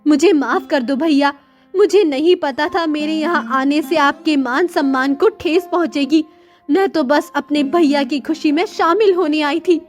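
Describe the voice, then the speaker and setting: A humble poor sister from a cartoon fable, acted by a woman, with a high voice. She is earnest, pleading and devoted, over faint music. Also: a little slow. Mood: meek, hurt. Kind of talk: Hindi moral-story cartoon dialogue.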